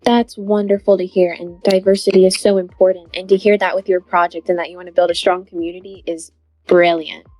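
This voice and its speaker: A woman's voice: fairly low pitch, little pitch movement, fairly quick, a little mellow, soft background music, poor audio. A woman hosting an online panel, moderating in an encouraging, upbeat way. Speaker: host.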